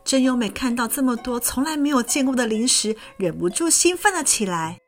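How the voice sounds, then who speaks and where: A woman's voice: expressive, brisk, lightly acted. A woman reading a storybook aloud and voicing a timid young schoolgirl who is afraid of water, with a wide-eyed, exclaiming manner. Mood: excited. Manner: wide-eyed, exclaiming, higher and brighter than the narration. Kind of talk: storybook character voice. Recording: faint music underneath.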